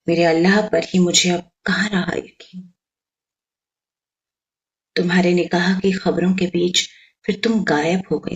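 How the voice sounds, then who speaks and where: A person reciting poetry in a solemn, measured manner, with pauses and some hushed, breathy phrasing. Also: mid-range voice, rough recording. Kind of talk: dramatic Hindi poetry recitation.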